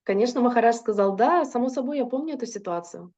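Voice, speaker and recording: Interpreter: woman, mellow dark tone, fluent with fillers, dull lo-fi recording. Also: fairly high pitch, expressive, fast talker. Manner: fluent with frequent filler hesitations. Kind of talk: consecutive interpreting of a lecture.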